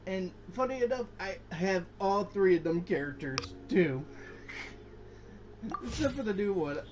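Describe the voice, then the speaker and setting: A man's voice: high voice, animated, unhurried, noisy background. A young man giving casual, rambling, off-the-cuff commentary while he plays a video game. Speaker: gaming video host. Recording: loud game music behind voice. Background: game music.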